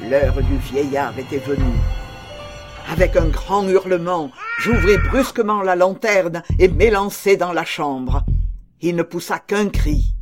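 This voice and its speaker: A man playing a nervous, obsessed murderer confessing in a theatrical, halting and deliberate literary narration. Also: fairly high pitch. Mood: agitated, frenzied. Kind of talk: dramatic literary audiobook narration. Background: music under the voice.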